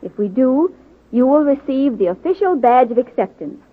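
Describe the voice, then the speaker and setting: Dignified nursing superintendent, tinny vintage radio. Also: female voice, sits high, very animated, heavy background noise. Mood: measured, instructive. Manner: measured, formal, deliberate. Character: dignified, kindly but exacting female superintendent of nurses. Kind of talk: 1940s radio drama acting. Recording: muffled phone-like vintage radio recording.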